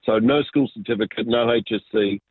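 A man, telling his story as an interview guest in a reflective, halting way full of fillers and restarts. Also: lowish voice, steady tone, slightly rough, no echo. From Argentina, raised in Sydney. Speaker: guest, business pastor. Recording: dry, phone-like narrow sound.

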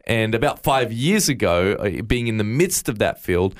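A man's voice: on the low side, lively intonation, moderate pace. A man with an Australian accent, a guest in an interview, earnestly recounting his faith story with frequent stammered restarts. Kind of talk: interview, personal testimony.

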